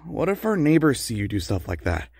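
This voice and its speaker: An actor playing a worried, long-suffering husband of a secret superheroine in an audio comedy drama. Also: male voice, mid-range voice, big pitch swings, fairly quick, creaky, darkish tone, husky, exaggerated voice. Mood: wry, worried.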